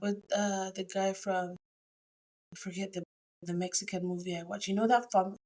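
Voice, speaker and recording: A woman's voice: mid-range voice, fairly even intonation, normal speed, dark tone. A woman hosting a podcast interview, chatty and effusive, often trailing off mid-sentence. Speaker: podcast host. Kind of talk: casual interview chat.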